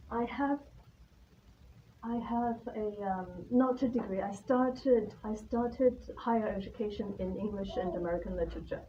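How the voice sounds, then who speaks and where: A woman from Taiwan gives an informal, personal talk in a nervous, hesitant, candid manner. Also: medium pitch. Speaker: artist-in-residence guest speaker. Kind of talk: informal spoken presentation about own life and work. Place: live talk at an art venue. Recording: distant mic, dull sound, faint background noise.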